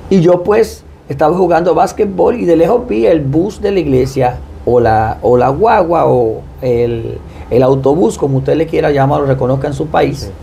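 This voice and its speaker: A man with a Puerto Rican Spanish accent, a pastor speaking as a guest in a devout, deliberate testimony style. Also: fairly high pitch, creaky, dark tone, a little hiss or hum. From Puerto Rico. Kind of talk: personal testimony and prayer.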